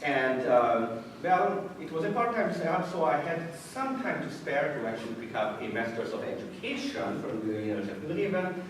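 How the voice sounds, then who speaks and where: A man in his thirties from Hungary with a Hungarian accent, giving an informal talk about himself to an audience in a halting, self-deprecating way. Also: a little high, sing-song. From Budapest, Hungary. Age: 30s. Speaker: college science teacher giving a talk. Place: echoey indoor room before an audience. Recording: echoey room, distant mic, noisy background.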